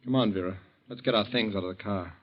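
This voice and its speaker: An actor playing a scheming young grown son in 1940s radio style, hesitant and falsely solicitous. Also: male voice, fairly low pitch, fast, over loud music, lightly acted. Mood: brisk, nervy. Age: young adult. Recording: dull vintage 1940s radio recording.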